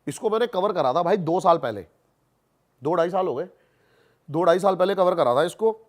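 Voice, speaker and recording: Male podcast guest, slightly high voice, colloquial storyteller who repeats phrases for emphasis and drops in English words. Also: animated, moderate pace. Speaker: podcast guest, haunted-place investigator. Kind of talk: animated casual storytelling on a podcast.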